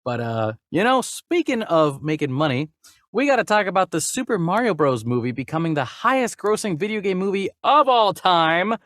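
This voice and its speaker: A man with a slightly high voice talks movie news as a podcast host. His pitch swings in a lively way and his tone is jokey and irreverent, recorded close and dry. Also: normal speed, dry recording. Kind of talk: casual movie news chat, reading articles aloud.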